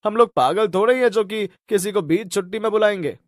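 A friendly company manager in a cartoon, acted by a man. He is chatty and familiar, with a raised pitch and a dry, close sound. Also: fast, no room sound, natural voice. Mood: friendly, puzzled. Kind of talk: animated story dialogue.

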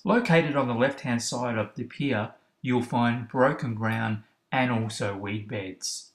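A man, host of a fishing channel, narrating instructional tips in a steady, explanatory way. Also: medium pitch. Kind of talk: instructional voice-over about kayak fishing spots. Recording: roomy, echoey recording.

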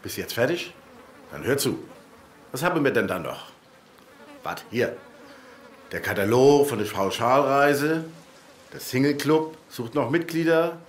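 A man plays a quarrelsome old male letterbox in a radio drama. He needles pedantically, his middle-register voice very animated in pitch. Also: unhurried, long pauses, a little hiss or hum, natural voice. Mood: smug, needling. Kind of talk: German radio play acting.

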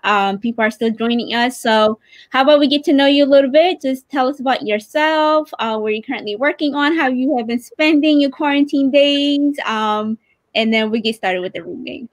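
A woman with a fairly high voice hosts a livestream in a casual, chatty style. She is on a video call, with little room sound around her. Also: normal speed, no room sound. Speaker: host, campaign director. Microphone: video call mic.

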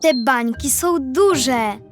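A woman's voice: high voice, expressive, very slow, full character voice. A woman voicing a playful little girl in a dubbed children's cartoon, speaking in a simple, childlike manner. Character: playful, food-loving little girl baby. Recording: music behind the voice.

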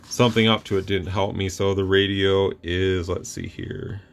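Low-voiced man demoing a radio. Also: normal speed, gravelly, a little hiss or hum. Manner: relaxed, rambling, explains with restarts and fillers. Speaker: radio reviewer. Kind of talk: casual product demo. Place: workbench room with radio gear.

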